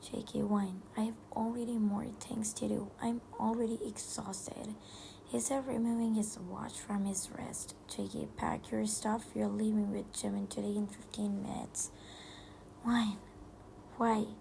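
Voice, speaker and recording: A woman whispering a romance story aloud in a slow, halting read-aloud manner. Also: medium pitch, level tone, gravelly, mellow, a little hiss or hum, poor audio. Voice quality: unvoiced whisper. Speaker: fan fiction reader.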